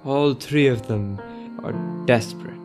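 A man's voice: medium pitch, measured pace, a little mellow, faint music behind, lightly acted. A man playing a grave, concerned old courtier in a Shakespearean radio play. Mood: grave, worried.

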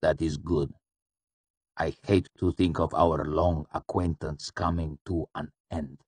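A man voicing a hooded dark lord in a fantasy story, cool and soft, speaking with patient, polite menace. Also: extremely low pitch, husky, no echo, exaggerated voice. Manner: calm, polite, deliberate menace.

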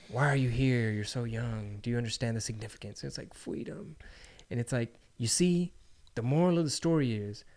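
A man's voice: medium pitch, a little mellow. A man in his thirties hosting a podcast, talking casually and profanely, acting out quotes with jokes. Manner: profane, joking, acts out quotes. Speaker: podcast host. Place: home studio with brick wall. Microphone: studio mic on boom arm, headphones.

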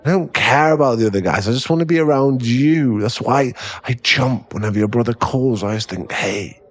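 A man playing a drunk, clingy, lovesick boyfriend in an intimate ASMR roleplay, with halting, pleading speech and a husky, gravelly voice. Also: medium pitch, no echo, lightly acted. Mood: whiny, pleading. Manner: tipsy, halting, drawn-out, pleading delivery.

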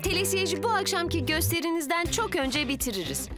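Confident young cartoon gal, very high, upbeat, music underneath. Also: female voice, expressive, brisk. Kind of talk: children's cartoon dub.

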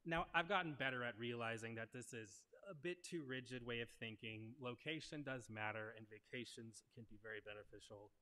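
A man's voice: mid-range voice, brisk, hardly any pauses, pushed and bright. A young man giving an earnest, conversational sermon at a lectern, speaking into a headset mic. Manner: earnest, conversational preaching with rhetorical questions. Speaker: preacher. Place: wood-paneled church sanctuary at a lectern. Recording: long church reverb.

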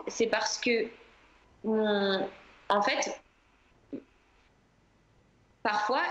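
A woman singer answering interview questions in a relaxed, chatty way, with many fillers. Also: on the high side, reverberant, muffled recording. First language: French.

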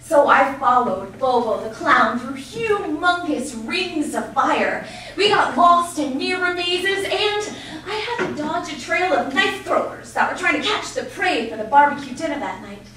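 A young woman giving a playful, animated comic storytelling speech at a contest, using a handheld mic. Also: high voice, unhurried. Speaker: contest speaker. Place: large hotel ballroom. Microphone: handheld mic, far camera. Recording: echoey hall from far off.

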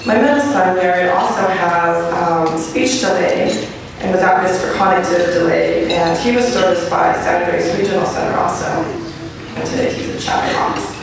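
Hoarse woman speaking in an echoey hall. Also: fairly low pitch, moderate pace, distant mic, a crowd in the background. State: hoarse from laryngitis. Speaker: parent speaker. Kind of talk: personal speech. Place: large banquet hall. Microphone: podium mic from a distance. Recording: echoey hall from afar with noise.

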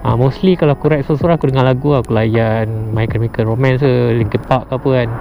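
A man's voice: medium pitch, fairly even intonation, fast talker, creaky, heavy background noise. A young man with a Malaysian accent giving a casual product review as a motorcycle vlogger, slangy and mixing in English words. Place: outdoors on a motorbike at a roadside. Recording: dull muffled audio, loud background music. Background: music added behind the voice.

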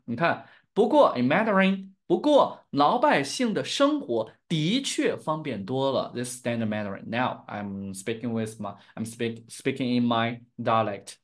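A young man from Chengdu with a Chinese accent, teaching Mandarin on a live stream and switching between English and Chinese. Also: mid-range voice. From Chengdu, Sichuan, China. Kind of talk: live-streamed language lesson.

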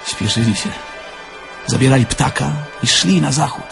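An actor playing a naive, candid young Polish car thief in an audio drama, talking in a plain, conversational way. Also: male voice, medium pitch, moderate pace, vocal fry, music in the background. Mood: wry reminiscing. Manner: conversational, plain-spoken, reminiscing.

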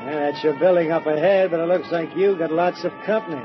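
A man's voice: on the high side, fairly even intonation, a little fast, over music, natural voice. An actor playing a folksy, prying male cab driver in 1940s radio drama style, on a dull old recording. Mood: nosy.

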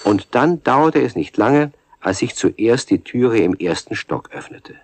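A man's voice: mid-range voice, lively intonation, measured pace, background noise. A man narrating a children's radio play as a storyteller, in a scripted storybook style. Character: male storyteller of a children's tale.

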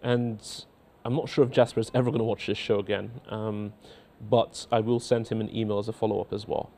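A man in his thirties with a faint Scottish accent, a cleric in a TV studio reading out and answering viewer letters in a measured, earnest way. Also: fairly low pitch, flat delivery, vocal fry, mellow. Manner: measured, earnest, repeats words when starting sentences. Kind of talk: studio talk show, reading letters aloud. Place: indoor TV studio.

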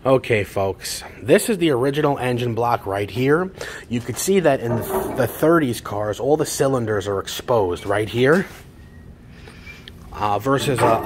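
A man's voice: medium pitch, noisy background. A middle-aged man, a classic car parts dealer who chats and rambles with enthusiasm while he films a walkaround in a large repair garage. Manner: chatty, enthusiastic, rambling with restarts. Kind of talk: casual walkaround video narration.

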